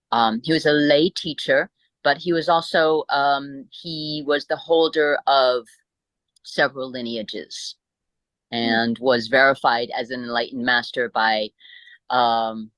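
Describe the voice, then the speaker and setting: Bright-voiced American woman, halting and reflective. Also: rumbling low, no echo, poor audio. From born in Italy, grew up in the United States. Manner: halting, searching for words. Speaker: interview guest, Buddhist teacher. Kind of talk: reflective conversational interview.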